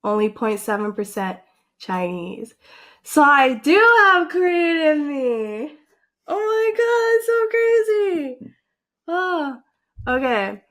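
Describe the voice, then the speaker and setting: A young woman vlogging casually, reacting in an animated, excitable way and filling her talk with likes and ums. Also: high voice, slow. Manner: animated, excitable, filler-heavy with frequent likes.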